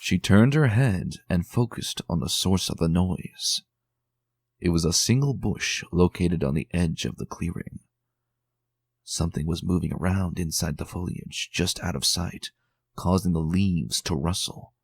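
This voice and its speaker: A man giving a dramatic, expressive reading of a fan story as narrator. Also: low-pitched, a little slow, creaky, breathy edge, no room sound, studio quality. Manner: expressive, tense and theatrical. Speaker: fan reader.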